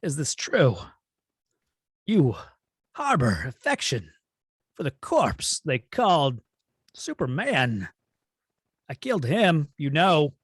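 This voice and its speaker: A man playing an imperious, cold alien supervillain in a script read, husky and pompous, with drawn-out, menacing delivery. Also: on the high side, wildly swooping pitch, no echo, studio quality, full character voice. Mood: gloating menace. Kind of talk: amateur table read of a film script.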